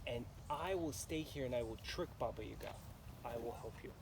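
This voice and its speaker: A man voicing a small, gentle, hungry bear cub, talking softly and coaxingly, recorded live in a noisy room. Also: mid-range voice, a little fast, gravelly, close to an everyday voice.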